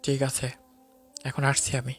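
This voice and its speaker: A man playing a stern, commanding investigator with a cold, vengeful streak in an audio drama, speaking deliberately. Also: mid-range voice, monotone, husky. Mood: reassuring. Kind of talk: dramatised audio love-crime story. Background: music.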